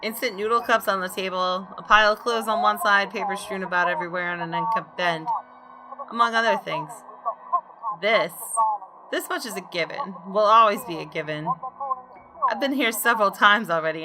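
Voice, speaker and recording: A woman reading visual novel story text aloud in a measured, expressive, deliberate way. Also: lowish voice, over music. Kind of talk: dramatic narration of story text. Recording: clearly noisy background.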